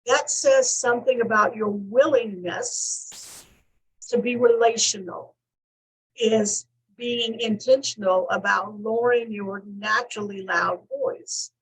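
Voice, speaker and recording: A woman counselor on a talk show, explaining points in measured, listed steps. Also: medium pitch, husky, rough recording. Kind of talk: teaching talk show discussion.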